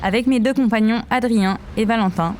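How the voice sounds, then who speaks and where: A woman giving calm, scripted documentary narration as a voice-over. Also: a little high, brisk, slight background noise.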